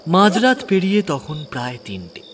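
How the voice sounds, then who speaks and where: A man's voice: high-pitched, wildly swooping pitch, moderate pace, noisy background. A man narrating a horror audio story dramatically, sometimes dropping to a whisper. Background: music.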